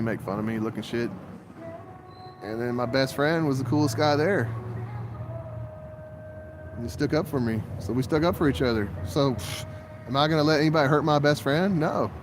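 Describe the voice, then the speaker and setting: A man in his forties talking in a rambling monologue that jumps from topic to topic, with frequent swearing. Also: medium pitch. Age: 40s. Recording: outdoor traffic noise.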